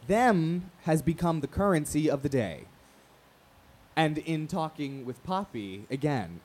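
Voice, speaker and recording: An actor playing an earnest, reflective male radio talk show host with a rhetorical, measured delivery. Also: medium pitch, a little mellow, slight background noise. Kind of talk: radio play acting, talk show monologue.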